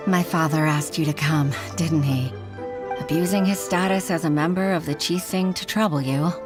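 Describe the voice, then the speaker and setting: A woman's voice: low-pitched, steady tone, a little slow, over music. An actress playing an earnest, troubled young female clerk who speaks in a worried, sighing way.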